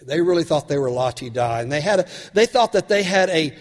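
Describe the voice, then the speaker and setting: A man delivers a sermon with a voice worn raspy by a cold, his pitch sitting fairly high for a man. He keeps turning to the congregation with earnest questions. The long reverb of a church hall trails every phrase. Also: lively intonation, a little fast. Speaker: pastor.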